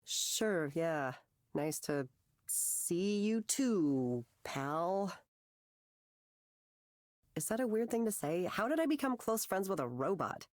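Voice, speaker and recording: A woman's voice: lowish voice, sing-song, slow, long pauses, darkish tone, no echo, clean studio sound. An actress playing an anxious, wry young woman who rambles hesitantly through a conversation in a video game. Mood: awkward, joking. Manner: hesitant, rambling, self-deprecating. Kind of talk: naturalistic conversational game voice acting.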